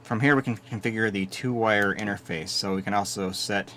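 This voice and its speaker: A man giving a steady, methodical hands-on walkthrough of equipment he is reviewing. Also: on the low side, fairly quick, slight background noise. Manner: steady, methodical explaining with small restarts. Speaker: product reviewer.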